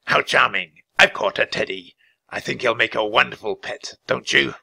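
Male narrator as raspy villainous raven king, storybook figure, mocking, sneering, gravelly, forceful. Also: mid-range voice, normal speed, no echo, exaggerated voice. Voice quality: raspy, croaking.

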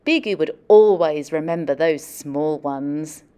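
A woman in her thirties speaking as a teacher or librarian, with an expressive, deliberate and encouraging storytelling style for young children. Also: low voice.

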